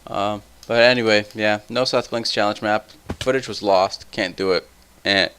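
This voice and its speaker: A tired young man with a somewhat deep voice, rambling through casual gameplay commentary full of tangents. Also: fairly even intonation, normal speed, a bit bright, smooth. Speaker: let's play host.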